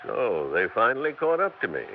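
A man's voice: medium pitch, wildly swooping pitch, fairly quick. An actor playing a tired, wisecracking older traveling salesman who may be dead, in a 1960s radio drama. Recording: dull old radio broadcast with faint hiss.

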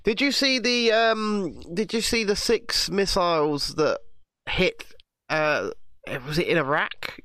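A man's voice: sits high, animated, slow, sharp tone, no echo. A man from England chatting casually, swearing and ranting, laughing as he talks. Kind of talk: casual chat.

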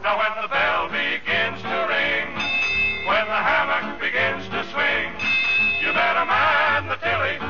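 A man's voice: very high-pitched, a little slow. A man singing a novelty song in a vocal group, in 1940s radio style. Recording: muffled old radio recording with music.

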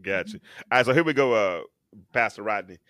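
Animated male talk show host. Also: fairly quick, no room sound, studio quality. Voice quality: bass in the voice. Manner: animated, repeats himself, restarts phrases. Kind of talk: casual chat.

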